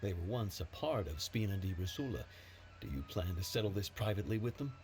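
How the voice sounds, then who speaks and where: An actor playing a courteous, businesslike officer of the law in an anime game. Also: male voice, low voice, animated, a little fast, a little mellow, slight background noise. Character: composed male lawman officer, courteous, businesslike. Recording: faint game music behind.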